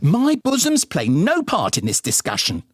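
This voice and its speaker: A fussy, indignant mother played by a male narrator bickers in a comic audiobook. The voice is pitched well up, shrill and huffy, with big swoops. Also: wildly swooping pitch, moderate pace, no echo, clean studio sound. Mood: huffy indignation.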